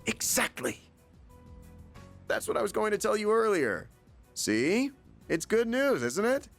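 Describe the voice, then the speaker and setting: A man's voice: high voice, big pitch swings, a little slow, gravelly, a bit bright, faint music behind, heavily acted. A man playing a genial, persuasive middle-aged school chairman in a video game, explaining things eagerly.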